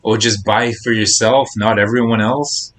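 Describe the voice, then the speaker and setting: A man's voice: on the low side, fairly even intonation, normal speed, a bit bright, slight background noise. A man livestreaming a game, talking casually and bluntly as he hands out advice to his chat. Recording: game music faintly behind the voice. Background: game audio and music.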